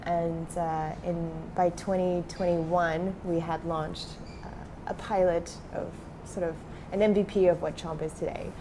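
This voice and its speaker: Young woman grew up in switzerland, chatting loose with lots of ums, lowish voice, noisy hiss behind. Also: measured pace. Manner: relaxed, many fillers like um and uh. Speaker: startup founder, guest. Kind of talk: casual interview talk.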